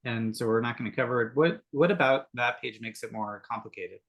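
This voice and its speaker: A man's voice: medium pitch, fairly quick, fairly bright tone. A man on a work video call, curious and often restarting his questions. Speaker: colleague asking questions. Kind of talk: casual work meeting.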